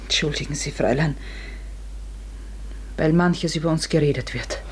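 An actress playing a middle-aged farmer's wife in a German radio drama, anxious and secretive, with a husky, hushed and halting delivery. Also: female voice, sits low, a little hiss or hum, natural voice. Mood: uneasy, foreboding.

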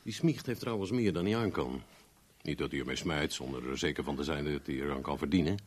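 A man's voice: a bass voice, a little fast. A man acting a blunt, needling gym owner and fixer in a Dutch radio drama, mocking and persuasive. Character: blunt, needling male gym owner and car dealer friend.

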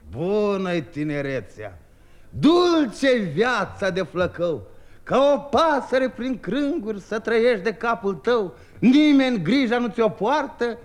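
A man playing a cheerful young peasant trickster in a 1950s radio drama, telling his tale in lively rhyme with chuckles. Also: very high-pitched. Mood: wistful.

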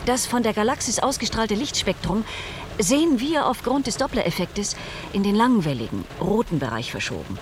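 Actress as an earnest, expressive woman scientist, noisy recording. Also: mid-range voice, normal speed, lightly acted. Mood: calm, explanatory. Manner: formal, precise, explanatory. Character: earnest, composed female scientist explaining her hypothesis. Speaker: radio drama actress. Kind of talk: German radio play acting.

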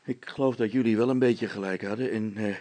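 A man's voice: on the low side, fairly even intonation, fairly quick, dark tone, no room sound, pitched below the performer's own voice, lightly acted. A man voicing a blustering village policeman who stammers, repeats himself and is secretly scared, in a storybook reading. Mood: shaken, sheepish.

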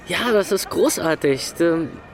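An actor in a naturalistic audio drama playing an easygoing, slangy young buddy who hedges and hesitates. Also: male voice, a little high, lively intonation, measured pace. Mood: evasive, buddy-like. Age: young adult. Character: evasive, slangy young club owner, easygoing loyal buddy.

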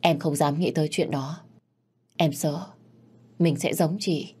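A woman voicing a caring sixteen-year-old sister who speaks gently and earnestly. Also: low-pitched, fairly even intonation, slow pace, breathy edge, heavily acted. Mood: tender.

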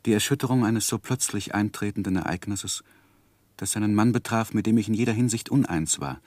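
An actor playing an earnest, lovesick young gentleman who narrates his life in a measured, introspective 1960s German radio drama. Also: fairly low pitch, no echo, close to an everyday voice. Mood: troubled. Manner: measured, introspective literary delivery.

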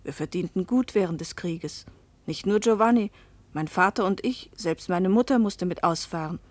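Actress as self-willed wife, dry close sound. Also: female voice, fairly low pitch, normal speed, a little mellow, no echo, lightly acted. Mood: reflective. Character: restless, self-willed fisherman's wife recounting her past. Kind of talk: first-person radio play narration and acting.

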